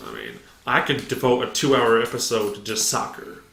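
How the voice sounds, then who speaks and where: Booming young man in an echoey room. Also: big pitch swings, moderate pace, creaky. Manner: loud, booming, joking. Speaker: podcast co-host. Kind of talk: casual sports podcast chat. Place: open basement. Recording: echoey room, faint noise.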